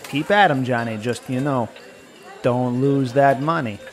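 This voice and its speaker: A man's voice: mid-range voice, measured pace, faint music behind, natural voice. An actor playing a bumbling male who hesitates and mangles his grammar. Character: shady male mob brother, bumbling.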